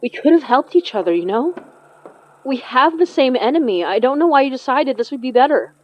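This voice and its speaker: An actress playing a stubborn, protective young magic practitioner in an audio drama, earnest and confrontational. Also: female voice, sits high, expressive, brisk, highs cut off. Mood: accusing.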